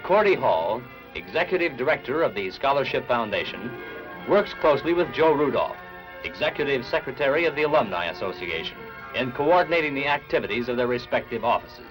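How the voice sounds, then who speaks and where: A man reading scripted 1960s newsreel narration in a measured, formal announcer style. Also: mid-range voice, sing-song, poor audio. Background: background music.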